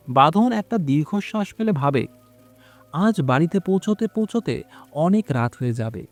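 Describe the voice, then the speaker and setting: A man tells a scripted horror story over background music. His voice sits a little high and swings widely in pitch. The delivery is dramatic and expressive, that of an audio story narrator. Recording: music behind the voice.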